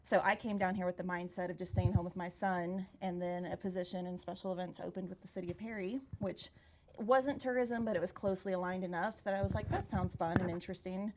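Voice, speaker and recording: A woman in her thirties tells her story in a lively, giggly way. Her voice sits a bit low, and a faint hiss runs under the recording. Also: fast, low-quality recording. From Hawaii, US. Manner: bubbly, laughs often, lively storytelling. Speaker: tourism director, guest. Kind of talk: casual podcast conversation. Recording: faint background noise.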